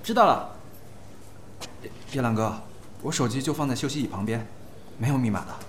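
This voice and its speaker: A man's voice: medium pitch, expressive, brisk, a little hiss or hum, lightly acted. A man playing a polite, shy, deferential younger actor in an audio drama, speaking hesitantly and modestly. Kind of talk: Chinese audio drama acting.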